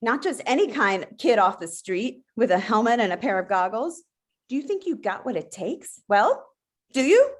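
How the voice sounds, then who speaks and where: Woman as cartoon little girl, high-pitched, very animated, bossy, excitable adventurer, brash commanding delivery. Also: moderate pace. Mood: challenging. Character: bold, bossy, excitable eight-year-old adventurer girl. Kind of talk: table read of an animated film script.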